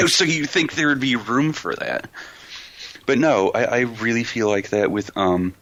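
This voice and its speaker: A man's voice: medium pitch, unhurried, a bit bright, lo-fi sound. A man talking casually and emphatically as a podcast host, explaining things with colloquial enthusiasm. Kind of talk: casual anime discussion.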